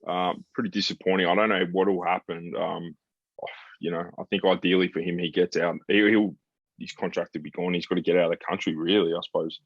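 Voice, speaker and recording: Very deep-voiced man chatting sport. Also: little pitch movement, a little fast, breathy edge, no room sound. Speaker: sports podcast host. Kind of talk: casual sports chat. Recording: slightly dull, lo-fi sound.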